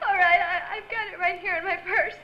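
An actress in an old-time radio drama playing a naive but spirited young Hollywood hopeful, earnest and melodramatic. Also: female voice, very high voice, steady tone, fairly quick, muffled recording, slight background noise. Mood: shaken.

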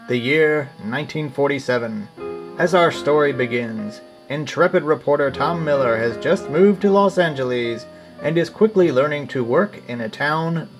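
A man narrating as the announcer of a 1940s-style radio drama. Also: medium pitch. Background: plucked guitar music under the voice.

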